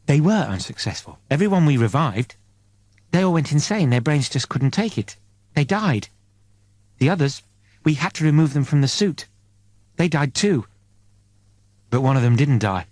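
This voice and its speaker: A man's voice: on the high side, very animated, normal speed, long pauses, a little mellow, no echo. A man voicing a defensive, shaken female spaceship crew member in an audiobook. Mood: defensive, grim. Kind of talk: audiobook character voice.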